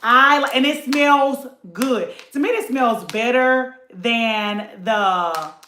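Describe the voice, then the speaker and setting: A woman's voice: on the high side, takes it slowly, bright, forceful tone, reverberant, a little hiss or hum. A woman, chatting casually to camera about a beauty haul and addressing viewers as y'all. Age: late 30s.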